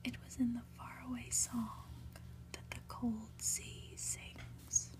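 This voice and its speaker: Softly whispering woman, slow and mellow. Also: on the high side, little pitch movement. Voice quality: soft, breathy whisper. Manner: hushed, gentle, deliberate delivery. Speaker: host reading a graphic novel aloud. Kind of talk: ASMR storybook narration.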